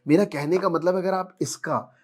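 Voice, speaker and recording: A man's voice: high-pitched, fairly even intonation, moderate pace. An Indian man giving an informal, persuasive product demonstration, explaining things and mixing in English words. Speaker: product presenter and seller.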